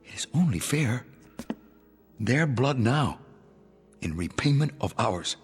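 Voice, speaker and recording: Rolling through long hall reverb over music, a gloating, vengeful rebel leader in a radio play. He is cruel and proud, taking his time over each threat, with theatrical swings from low menace to high outrage. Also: animated, slow pace. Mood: cold resolve. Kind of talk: old-time radio drama acting. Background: dramatic music.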